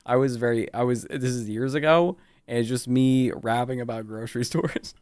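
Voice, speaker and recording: A man's voice: mid-range voice, steady tone, moderate pace, a little mellow, clear, resonant tone, no echo, studio quality. A man who works as a voice actor chatting casually on a live stream, teasing and repeating his phrases. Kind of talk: casual chat.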